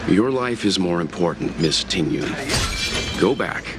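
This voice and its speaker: Deep, grave, protective man. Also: expressive, a little slow. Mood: firm, concerned. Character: stern, protective male companion, serious and caring. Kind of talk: English video game voice acting. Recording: music and effects behind the voice.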